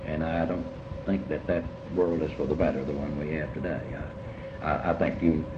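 An older man speaking reflectively in an interview about his life, halting and often repeating words as he rephrases. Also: very deep voice, fairly even intonation. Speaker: interviewee recalling early life. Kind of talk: reflective oral history interview. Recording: muffled, noisy, echoey room recording.

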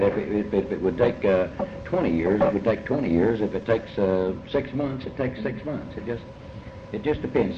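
Low-voiced Kentucky man, halting and deliberate. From Kentucky, United States. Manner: halting, deliberate, folksy, often repeating phrases. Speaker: novelist being interviewed. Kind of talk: reflective interview answers. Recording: muffled, noisy, echoey old tape.

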